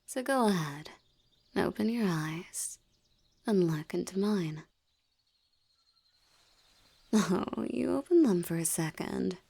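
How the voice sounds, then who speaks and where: A woman's voice: fairly low pitch, lively intonation, a little slow, creaky, warm tone, breathy edge, heavily acted. A woman voicing a seductive, teasing female vampire in an ASMR audio roleplay, often dropping into a whisper. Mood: hypnotic, seductive.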